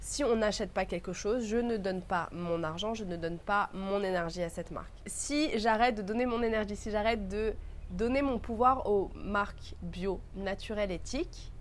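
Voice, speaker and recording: A woman's voice: mid-range voice, moderate pace, a little hiss or hum. A young woman vlogging to camera with a stuffy nose from a cold, talking things through in a thoughtful, earnest way. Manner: thoughtful, earnest, lists words in a row. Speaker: beauty vlogger. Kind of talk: casual reflective talk to camera.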